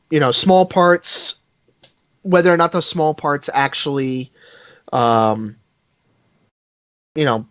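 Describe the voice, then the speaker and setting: Chatty higher-voiced man, slightly muffled. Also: dry recording. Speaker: podcast panelist reviewing a fan audio drama. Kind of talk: casual podcast review chat.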